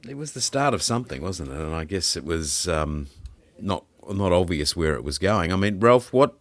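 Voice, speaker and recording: A man's voice: low voice, animated, normal speed, no room sound. A man hosting an interview, asking questions in a casual conversational style. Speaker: podcast host, interviewer.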